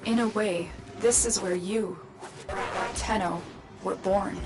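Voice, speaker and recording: A woman playing a composed, motherly mentor to young warriors in a video game, speaking in a measured, solemn, commanding way. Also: mid-range voice, fairly even intonation, lightly acted. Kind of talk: scripted video game voice acting. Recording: music behind the voice. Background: game music.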